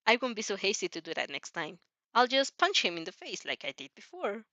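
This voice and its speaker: A woman's voice: medium pitch, sing-song, brisk, pushed and bright, no echo. A woman voicing a cheerful, confident young vampire girl in a fan fiction reading, casual and self-assured. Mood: breezy.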